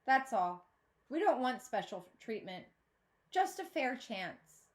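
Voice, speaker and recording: A woman voicing a humble, polite father in a storybook, speaking in a measured way. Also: fairly high pitch, sing-song. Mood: humble, firm. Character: humble, rule-abiding father, a taco truck driver.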